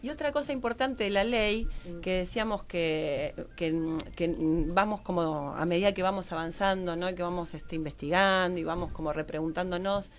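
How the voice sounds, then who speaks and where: A woman's voice: lowish voice, slight background noise. A woman with an Argentine accent speaking as a guest in a radio interview, searching for words and repeating herself as she explains. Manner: halting, repetitive, searching for words. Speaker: guest researcher on gender and health law.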